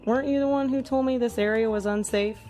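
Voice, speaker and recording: A woman's voice: a little high, fairly even intonation, fast talker, mellow, soft background music, natural voice. A woman playing a blunt, skeptical lady with secrets in a comedy audio drama, speaking matter-of-factly.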